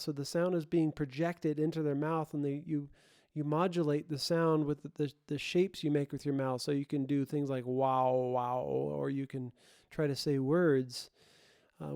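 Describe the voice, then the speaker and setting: Guy doing a casual tutorial, soft mellow clean voice, kinda halting, backs up and rephrases, really dry sound. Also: fairly high pitch, clean tone, no echo. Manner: halting, thinking aloud, self-correcting. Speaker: host, audio production tutorial presenter. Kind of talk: informal explainer tutorial.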